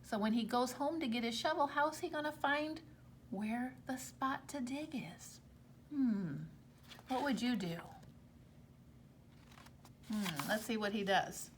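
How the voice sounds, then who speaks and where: A woman reading a storybook aloud to children like a teacher, expressively, pausing to explain things to her young listeners. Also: fairly high pitch, lively intonation, slight background noise.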